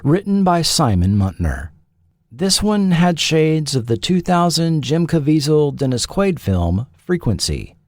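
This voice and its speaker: A man hosting and narrating a scripted documentary feature in a measured, articulate way. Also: on the high side, very animated, a little mellow, no echo, clean studio sound. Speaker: podcast host and narrator.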